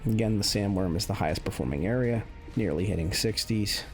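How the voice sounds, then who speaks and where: Male game video host, very deep and creaky, casual and unscripted, measured thinking-aloud delivery, light music underneath. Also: a little mellow. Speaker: gaming video host, commentator. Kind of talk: casual off-the-cuff commentary over game benchmark footage.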